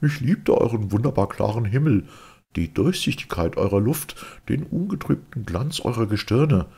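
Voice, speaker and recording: A man's voice: on the low side, lively intonation, moderate pace, creaky, dark tone, breathy edge, pitched above the performer's own voice, heavily acted. A man voicing an earnest, impressionable male space traveller in a storybook. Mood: wistful. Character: earnest Swabian male space traveller, awed and easily startled.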